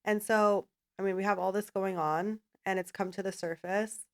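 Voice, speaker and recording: A woman's voice: mid-range voice, fairly even intonation, moderate pace, smooth. Thoughtful woman hosting a podcast. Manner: thoughtful and affirming, builds points with repetition. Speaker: podcast host. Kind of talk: casual interview conversation.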